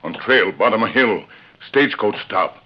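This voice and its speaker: An actor playing a faithful western sidekick who speaks in terse broken English in a 1940s radio drama. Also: male voice, lowish voice, lively intonation, moderate pace. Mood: watchful. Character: faithful, terse male companion of western hero. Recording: noisy, muffled 1940s radio recording.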